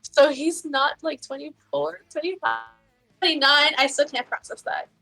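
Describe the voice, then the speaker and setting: A woman's voice: sits high, fairly even intonation, moderate pace, sharp tone, soft background music, low-quality recording. A young woman in her early twenties, a guest in a casual chat, bubbly and often laughing. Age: 20s.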